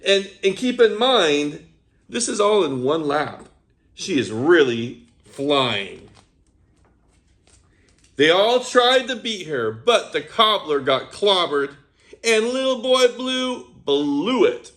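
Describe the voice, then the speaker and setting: A man reads a picture book aloud for young children, telling it as an animated storyteller. His voice sits high and swoops widely, and he keeps an unhurried pace. He stops now and then to talk to his young listeners. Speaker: children's read-aloud host.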